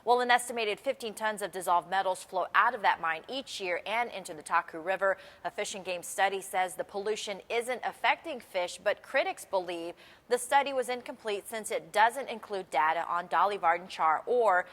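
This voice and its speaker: An American woman anchors a morning newscast in a scripted broadcast delivery. Her voice sits at a middle pitch for a woman. It carries a long reverb, as if in a hall. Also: moderate pace, fairly bright tone.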